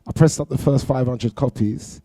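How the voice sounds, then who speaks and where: A middle-aged man with a London accent, chatting as a guest on a radio show and telling animated stories about his career. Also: medium pitch, normal speed, no echo. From London, England. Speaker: guest DJ and producer. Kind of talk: casual radio interview. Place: radio studio. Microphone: studio mic.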